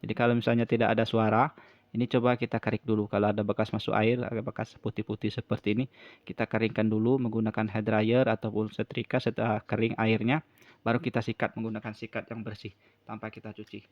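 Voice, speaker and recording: Low, flat-voiced man explaining repairs. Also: fast talker, dry recording, muffled recording. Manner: repetitive step-by-step explaining. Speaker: phone repair tutorial presenter. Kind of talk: hands-on spoken repair tutorial, unscripted.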